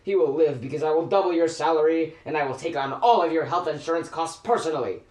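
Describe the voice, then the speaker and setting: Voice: medium pitch, fast, darkish tone, lightly acted. Someone acting an emphatic, commanding Scrooge in a roomy space. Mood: earnest, resolute. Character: gruff reformed boss Scrooge, earnest and commanding. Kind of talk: amateur radio play acting.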